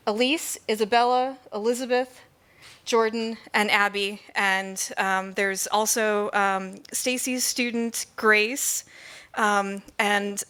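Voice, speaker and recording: An American woman in her thirties speaks into a stand microphone at an indoor public talk. Her voice is bright and mid-pitched, and she presents in an informal, modest way. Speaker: librarian presenting a project. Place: indoor stage at a library event.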